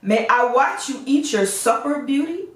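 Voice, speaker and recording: A woman voicing a gentle, courteous male beast in a fairy tale, speaking formally and sadly. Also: high-pitched, lively intonation, unhurried, voice pushed up. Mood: gentle, polite. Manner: formal, polite, sorrowful. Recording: roomy echo, distant microphone.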